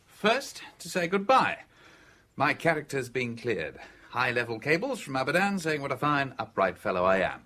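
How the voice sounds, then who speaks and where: Radio drama role: jaunty gentleman, sardonic, bright forceful voice with lively inflection. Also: medium pitch, normal speed. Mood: wry, brisk. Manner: jaunty, wry. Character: breezy, sardonic gentleman visitor, cleared of suspicion.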